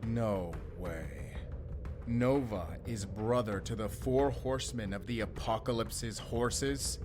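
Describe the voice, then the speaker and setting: A man's voice: fairly low pitch, takes it slowly, background noise. A man playing a brash, bewildered human in a fantasy audio drama, talking casually and incredulously. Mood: amazed.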